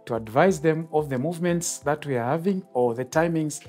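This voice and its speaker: A middle-aged Zambian man speaks at a medium pitch with soft music underneath. His delivery is formal and methodical, that of a controller explaining procedures in an interview. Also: a little fast. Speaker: air traffic controller, tower. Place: glass-walled control tower room, indoors. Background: background music.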